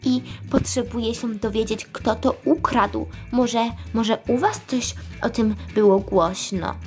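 Casting: kindly old male leader played by a woman, worried, earnest, unhurried, children's storybook. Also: on the high side, steady tone, darkish tone, faint music behind. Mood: worried, pleading.

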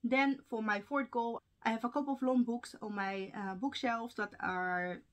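A woman's voice: fairly high pitch, fairly even intonation, moderate pace. A young woman whose first language is Russian, talking casually to camera from home about her reading plans, with fillers and small laughs. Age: young adult. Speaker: book vlogger. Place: home room with bookshelves. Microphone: camera framing, seated at desk.

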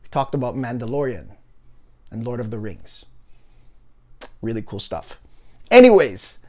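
A young man chatting casually to the camera in a perfume review video, animated and talkative, often adding "guys" and "okay?". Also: mid-range voice, measured pace. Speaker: fragrance reviewer. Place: home room, indoors.

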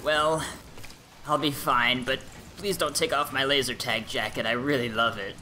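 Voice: mid-range voice, steady tone, normal speed, bright, forceful tone, slight background noise, lightly acted. A performer voicing a childish, earnest middle-aged male scientist who stammers and whines in an improvised comedy game dub. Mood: whiny, pleading.

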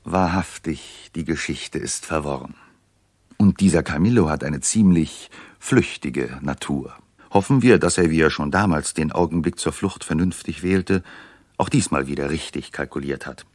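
A man acting as the wry, knowing narrator of a 1960s German radio play, in a measured storytelling style. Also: very deep voice, a little mellow, breathy edge, dry recording.

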